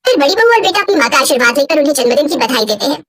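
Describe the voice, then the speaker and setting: A woman's voice: high-pitched, sing-song, racing, a crowd in the background. A woman reading scripted storybook narration for a cartoon. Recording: clear background noise.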